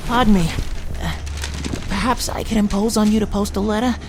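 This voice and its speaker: A woman's voice: medium pitch, steady tone, a little fast, heavy background noise, lightly acted. A woman voicing an anxious, polite young boy who speaks hesitantly in an audio drama. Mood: nervous, polite. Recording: music under the voice. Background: loud music.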